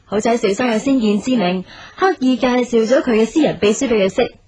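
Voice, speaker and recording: 女演員扮演聲音偏高、健談殷勤嘅職員. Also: female voice, fairly high pitch, a little fast, warm tone, close to an everyday voice. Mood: gossipy. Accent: Hong Kong Cantonese. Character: chatty, obliging long-serving female office colleague. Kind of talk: radio drama acting. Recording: slightly dull radio recording.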